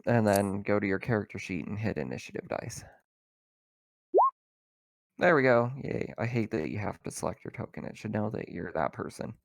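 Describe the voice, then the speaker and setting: A man's voice: lowish voice, expressive, moderate pace, vocal fry, dry recording. A man acting as game master in a casual tabletop roleplaying session, explaining things informally.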